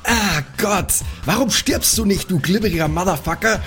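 A man's voice: sits high, normal speed, fairly bright tone, voice pushed up. A man playing an irate, foul-mouthed adventurer in an improvised role-playing audio drama, exasperated and scolding. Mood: furious. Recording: music bed behind the voice.

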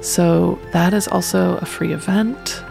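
A woman's voice: on the low side, steady tone, measured pace, gravelly. A woman announcer giving casual show notes before the episode starts. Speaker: podcast announcer. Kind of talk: podcast episode outro and lead-in. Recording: voice over background music.

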